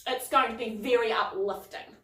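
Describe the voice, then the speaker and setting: A woman voicing a rude, quick-tempered housekeeper who talks bluntly, recorded in a roomy space at some distance from the mic. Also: fairly high pitch, fairly even intonation, lightly acted. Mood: boastful. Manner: snarling, blunt.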